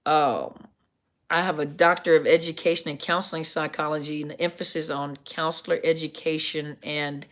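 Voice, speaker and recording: A middle-aged woman with a deep, scruffy, gravelly voice, a counselor chatting casually to viewers on a live stream and often correcting herself with ums. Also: steady tone, moderate pace, a bit bright. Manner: rambling, self-correcting, many ums.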